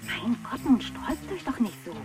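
Excitable, lilting hairdresser over radio. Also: female voice, a little high, moderate pace, over music. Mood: emotional, hopeful. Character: excitable, sentimental female hairdresser. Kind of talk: children's radio play acting.

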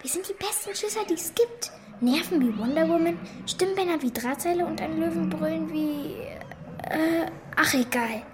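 A confident, encouraging schoolchild with a very high voice. The style is children's radio drama, with clear background noise and animal sounds. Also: female voice, expressive, moderate pace. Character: plucky, confident schoolchild cheering on scared friends. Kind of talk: children's radio play acting.

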